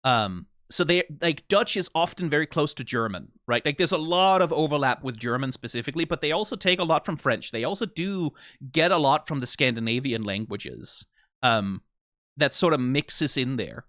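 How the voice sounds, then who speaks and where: A man's voice: on the high side, expressive, moderate pace, a bit bright, no room sound, studio quality. A Danish man with a Danish accent hosting a reaction video, rambling casually from tangent to tangent, swearing now and then and often asking "right?". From Denmark.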